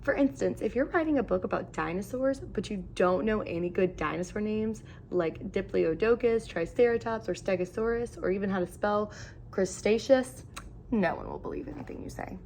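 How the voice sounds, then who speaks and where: A woman reading a children's picture book aloud in an animated, expressive storytelling manner with emphatic phrasing. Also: medium pitch, moderate pace, slight background noise.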